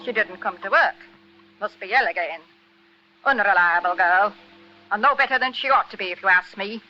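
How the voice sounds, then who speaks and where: An actress playing a brisk, sharp-tongued housekeeper at a village inn in a 1940s radio drama. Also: female voice, mid-range voice, faint music behind, close to an everyday voice. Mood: disapproving. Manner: scolding, disapproving. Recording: dull lo-fi 1940s radio recording.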